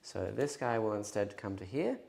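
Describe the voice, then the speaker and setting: A man's voice: lowish voice, lively intonation, moderate pace, vocal fry, noisy background. A man playing a tabletop wargame on stream, talking through his rules and moves in a casual, chatty way. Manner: chatty, explains rules and moves aloud while measuring. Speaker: wargaming battle report player.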